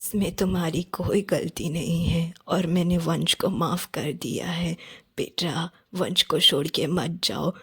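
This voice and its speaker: A woman voicing a gentle, hurt but forgiving middle-aged village mother in a cartoon moral story, speaking softly and pleadingly. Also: lowish voice, measured pace, breathy edge, voice pushed down, exaggerated voice.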